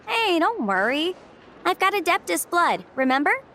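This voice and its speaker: A woman playing a cheerful young legal consultant in a video game, bubbly, chatty and expressive. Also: very high-pitched, moderate pace, slight background noise, heavily acted. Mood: chatty, upbeat. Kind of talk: animated video game voice acting.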